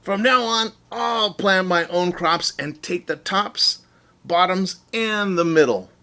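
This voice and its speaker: A man's voice: high-pitched, takes it slowly, sharp tone, voice pushed up, heavily acted. A man voicing a grumpy, lazy bear who complains indignantly in a children's storybook. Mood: fed up. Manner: scowling, growling complaints, hollering. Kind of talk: children's storybook read-aloud.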